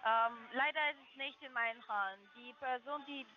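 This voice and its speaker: A call center agent making a sales call in halting, full of fillers. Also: high voice, soft background music. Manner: hesitant, halting, many fillers. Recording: muffled phone line.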